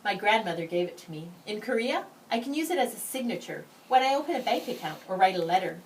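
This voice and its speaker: A gentle, thoughtful young schoolgirl in a storybook, as read by a woman. She speaks earnestly and clearly, with a swinging, expressive melody. The sound is a little distant, with faint noise behind. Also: mid-range voice, quick pace, close to an everyday voice. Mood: eager, sharing. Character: gentle young Korean immigrant schoolgirl, thoughtful, proud of her name.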